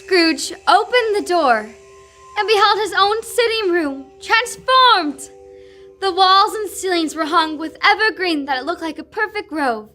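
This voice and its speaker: A woman's voice: very high-pitched, lively intonation, unhurried, pushed and bright, faint music behind, heavily acted. A young woman narrating a scripted radio drama. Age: teens.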